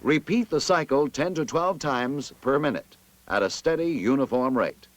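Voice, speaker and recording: An American man reads scripted narration for a vintage army training film in an authoritative, instructive manner with clear enunciation. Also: mid-range voice, animated, normal speed, no room sound.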